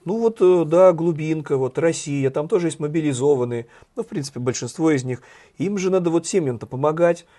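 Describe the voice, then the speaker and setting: A man with a hoarse voice co-hosting a satirical news chat, talking in a sardonic, ironic way. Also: a little high, brisk, dark tone. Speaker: co-host, journalist.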